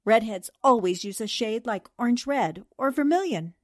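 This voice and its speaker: A woman playing a high-voiced, shrewd newspaperwoman sleuth. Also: lively intonation, normal speed. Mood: confident, methodical. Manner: precise, methodical explaining. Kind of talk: 1940s radio mystery acting.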